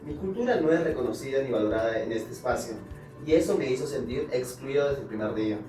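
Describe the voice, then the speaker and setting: A man's voice: mid-range voice, animated, fast talker, mellow. Young male student reading a case aloud, mid-range voice, distant in a roomy space. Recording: distant echoey room with music.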